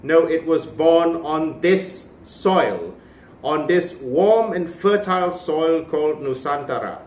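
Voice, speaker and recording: A man's voice: a little high, animated, slow, darkish tone, recorded from a distance. A man from Malaysia giving an opinionated video commentary, emphatic and impassioned, with sardonic asides. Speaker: cultural commentator. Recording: echoey room.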